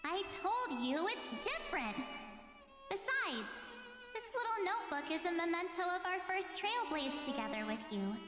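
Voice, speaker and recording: A perky young woman adventurer in a story game, high-pitched and proud of herself, with a sentimental streak. Music plays clearly behind the scripted lines. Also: very animated, normal speed, big-room sound. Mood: cheerful, boastful. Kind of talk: scripted video game story narration and dialogue. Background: game music with violin.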